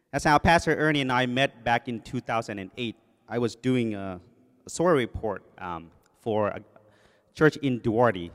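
A man in his forties with a Thai accent, a guest preacher talking from the podium in a joking, self-deprecating way with many fillers. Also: mid-range voice. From Thailand. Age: 40s. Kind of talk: sermon introduction. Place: church sanctuary. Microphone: podium microphone. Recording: long reverb of a church hall.

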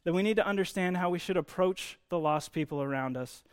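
A man's voice: high-pitched, a little fast, smooth. A man giving a conversational sermon, explaining Bible teaching in an informal way with emphasis on key words.

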